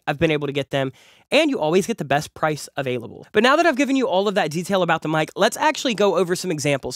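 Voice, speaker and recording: A man's voice: on the high side, a bit bright, dry recording. A young adult man hosting a casual gear review, enthusiastic and chatty and repeating words for emphasis, a bit nasal from a cold, talking close into a studio condenser. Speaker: music producer and YouTube host. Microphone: large studio condenser close up.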